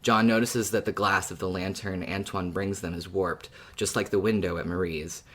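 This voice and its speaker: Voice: low voice, steady tone, normal speed, a bit bright, slight background noise. A podcast host who chats casually about a story in a fan discussion and laughs often.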